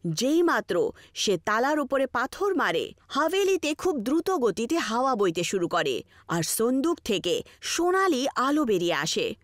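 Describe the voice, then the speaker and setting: A woman narrates a scripted ghost story. Her voice is high and very animated in pitch, captured close and dry with no room sound. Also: a little slow, no echo.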